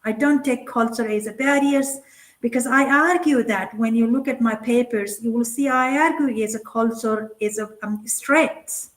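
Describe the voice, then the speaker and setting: Casting: middle-aged woman from Nepal, second-language English, slightly high voice, earnest keynote speaker with plenty of "um" and restarts. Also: moderate pace, poor audio. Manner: earnest, many fillers and restarts. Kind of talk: conference keynote talk.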